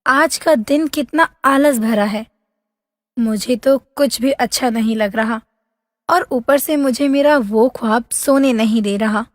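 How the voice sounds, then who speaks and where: A woman playing a wistful, lovesick young princess in a fairy tale, with a plaintive, drawn-out, dramatic delivery. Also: a little high. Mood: wistful, troubled. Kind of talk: animated fairy-tale voice acting. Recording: long hall-like reverb.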